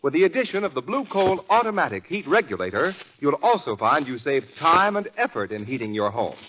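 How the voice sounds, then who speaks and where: American male announcer for old-time radio ad copy, very lively intonation, muffled period sound. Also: a little high, brisk. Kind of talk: scripted 1940s radio commercial. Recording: muffled old radio recording, faint hiss.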